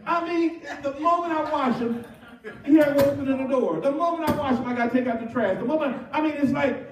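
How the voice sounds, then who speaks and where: High-voiced pastor preaching in a roomy church. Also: male voice, a little fast, recorded from a distance. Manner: animated call-and-response preaching. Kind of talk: sermon. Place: church sanctuary with cross wall.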